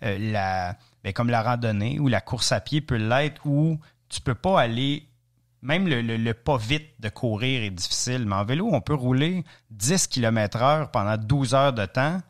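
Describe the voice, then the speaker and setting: A man in his thirties from Eastern Ontario with a Franco-Ontarian French accent, a podcast guest telling stories in a chatty, joking way, often pausing with fillers. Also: medium pitch, lively intonation. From Eastern Ontario, Canada. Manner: chatty, joking storyteller with many hesitations. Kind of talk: casual podcast interview.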